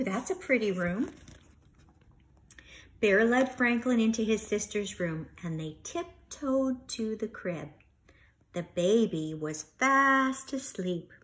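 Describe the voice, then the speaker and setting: Slow-paced woman reading a children's storybook. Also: mid-range voice. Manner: expressive, instructional, pausing to comment. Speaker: teacher reading a storybook aloud. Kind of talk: read-aloud children's storytime narration.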